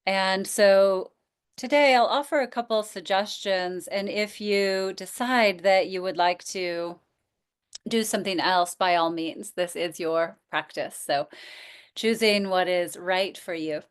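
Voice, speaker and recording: A woman teaching yoga nidra, guiding relaxation in a gentle, inviting manner with pauses. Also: mid-range voice, clear, resonant tone. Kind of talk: guided relaxation instruction.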